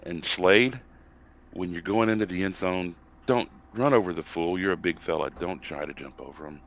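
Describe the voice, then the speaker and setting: A man's voice: low voice, creaky, a bit bright, lo-fi sound, a little hiss or hum. A man with a Southern accent, co-hosting a casual sports podcast and ranting bluntly about his team. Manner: blunt, ranting, self-interrupting. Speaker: podcast co-host and football analyst.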